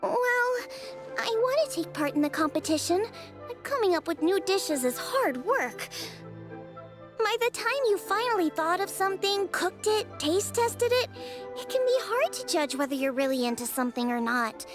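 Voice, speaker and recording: A woman's voice: extremely high pitch, big pitch swings, moderate pace, over music. A woman playing a bubbly, eager teenage girl cook in a video game, with a girlish voice and chatty, enthusiastic manner. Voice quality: bright, girlish.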